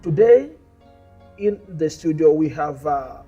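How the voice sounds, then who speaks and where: A man with a South African accent, a pastor hosting a studio interview show, warmly welcoming his guest and murmuring agreement as he listens. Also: on the high side, expressive, takes it slowly, breathy edge, highs cut off, faint music behind. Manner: warm greeting, frequent murmured agreement. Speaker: talk show host, pastor.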